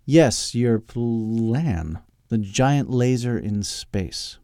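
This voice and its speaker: A man voicing a reserved, polite schemer in an audiobook, formal and measured, with a strained courtesy. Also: medium pitch, expressive, dark tone, clean tone, no room sound, clean studio sound. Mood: reluctant.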